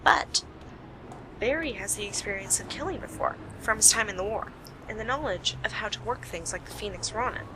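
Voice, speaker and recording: A woman's voice: mid-range voice, a little fast, background noise, natural voice. A woman playing a keen, analytical amateur detective in an amateur radio play. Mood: thoughtful.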